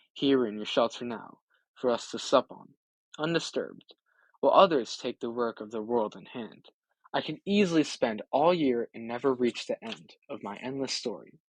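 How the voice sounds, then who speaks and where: A wily old wanderer , played by a man reading aloud, holds forth like a practised storyteller in an epic. His mid-pitched voice is proud, emphatic and declamatory, recorded dry. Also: fairly bright tone, dry recording, rough recording. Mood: proud, reflective.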